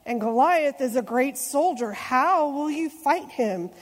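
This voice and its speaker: Brief: skeptical storybook king, read by a woman, slow and questioning, in a long-reverb church. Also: high voice, animated. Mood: doubtful. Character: doubtful male king, older ruler.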